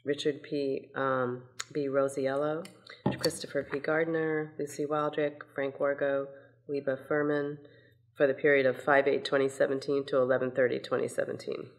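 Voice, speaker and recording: A woman council member steadily reading motions aloud at a meeting, with an occasional "um". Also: sits low. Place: council chamber, indoors.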